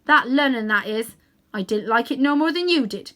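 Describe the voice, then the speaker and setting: A woman reader acts out a working-class cabbie man. He is a kindly, plain-spoken storybook fellow who talks in chatty, emphatic bursts, high in pitch and very animated. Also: moderate pace. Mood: rueful. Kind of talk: children's storybook character reading.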